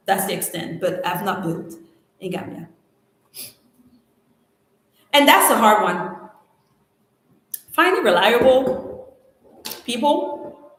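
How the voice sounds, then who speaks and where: A middle-aged woman from Cameroon, a French speaker, answering viewer questions in a live chat, animated and emphatic with slangy exclamations. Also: mid-range voice. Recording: very echoey room.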